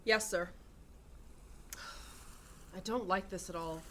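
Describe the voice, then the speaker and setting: A woman acts out a skeptical lady police lieutenant in a radio play. Her tone is sharp and scornful, over audible background noise. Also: mid-range voice, steady tone, slow. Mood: suspicious. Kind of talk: radio drama acting. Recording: clear background noise and ambience.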